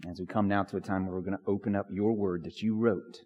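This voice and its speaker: A man preaching as a pastor, with a hoarse voice and lots of fillers and restarts. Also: deep voice, fairly even intonation, fast talker, warm tone, highs cut off. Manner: filler-heavy, restarts often. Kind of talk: prayer and sermon. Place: church sanctuary. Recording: long church reverb.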